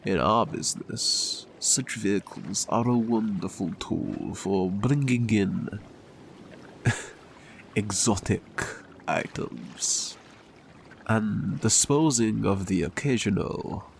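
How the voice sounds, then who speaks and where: An actor in an audio drama playing a pretentious, theatrical crime boss who tells tall tales in grandiose, formal language. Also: male voice, lowish voice, takes it slowly, vocal fry, a little hiss or hum. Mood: pompous storytelling. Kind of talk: scripted audio drama acting.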